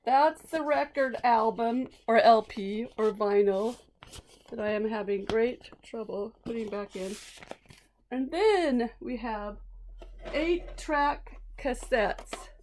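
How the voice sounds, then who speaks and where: A woman's voice: a little high, takes it slowly, a little mellow, a little hiss or hum, low-quality recording. A woman teacher casually explaining things to young children, chatty and asking simple questions. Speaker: read-aloud teacher.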